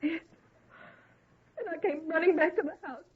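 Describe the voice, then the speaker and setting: A woman's voice: very high voice, moderate pace. An actress playing a terrified, hysterical woman in an old-time radio drama, overwrought and pleading. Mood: shaken, horrified. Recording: tinny, band-limited old radio recording.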